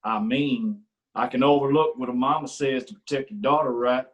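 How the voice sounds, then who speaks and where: A man playing a rural lawman in a staged reading, needling others with slow, threatening questions. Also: medium pitch, muffled recording, natural voice. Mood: menacing. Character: menacing man who is the local law.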